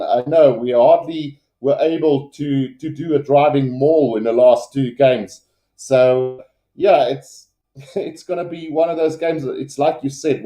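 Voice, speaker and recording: A South African man with an Afrikaans accent hosts a casual sports livestream. His voice is mellow and relaxed, at a middling pitch, with a loose, hesitant, conversational delivery. The room around him sounds noticeably echoey. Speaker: livestream sports commentator and host. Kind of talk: casual live rugby commentary, chatting with viewers.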